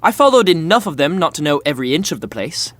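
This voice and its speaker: An actor playing a cocky, irreverent young prince in a modern audio drama, casual and bantering. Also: male voice, on the high side, sing-song, fast talker, fairly bright tone, dry recording. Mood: wry. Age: young adult.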